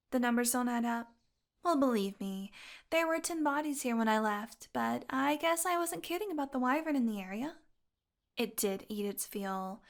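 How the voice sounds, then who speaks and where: A woman playing a possessive fantasy healer in an audio roleplay, tender and secretly bloodthirsty, speaking softly and deliberately. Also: high voice. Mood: smug, menacing. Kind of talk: intimate audio roleplay monologue.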